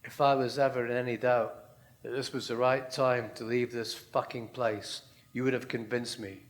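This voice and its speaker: Man reading a weary young woman, bitter and withdrawn, quiet, clipped, audiobook character, Scottish accent. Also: mid-range voice, level tone, moderate pace, voice pushed down, lightly acted.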